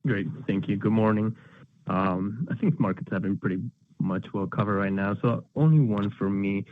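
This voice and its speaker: A man asking a polite, slightly halting question as a financial analyst on an earnings call. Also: lowish voice, no echo. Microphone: telephone. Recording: dry, narrow phone line.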